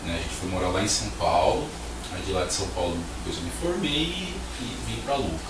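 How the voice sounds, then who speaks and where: Chilean-born man as guest on a talk show, animated pitch, heard from a distance in an echoey room. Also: fast, background noise. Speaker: vice-president of an artists' association, guest. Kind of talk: casual talk show chat.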